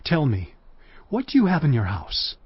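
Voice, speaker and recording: An actor playing the prophet Elisha, an earnest, commanding holy man who speaks in a solemn, deliberate way, in a dramatized audio scripture. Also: a little high, huge pitch swings, breathy edge, no echo, full character voice. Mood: instructing. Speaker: prophet character. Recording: dull dry audio with music.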